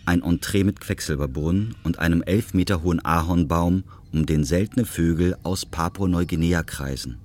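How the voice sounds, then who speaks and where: A man's voice: sits low, normal speed, few pauses, a little mellow. A man narrating scripted stage directions and scene descriptions for an audio drama.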